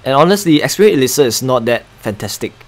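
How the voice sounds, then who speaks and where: A man's voice: medium pitch, animated, fast. Young Singaporean male game streamer, Singaporean accent; casual, chatty commentary with muttered asides. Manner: chatty, muttering asides, reading chat aloud.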